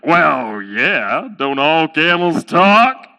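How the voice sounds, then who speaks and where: A man's voice: a little high, big pitch swings, very slow, vocal fry, bright, forceful tone, pitched below the performer's own voice, heavily acted. A man voicing a laid-back, cheeky talking camel in a comic story, wheedling in a breezy, folksy way.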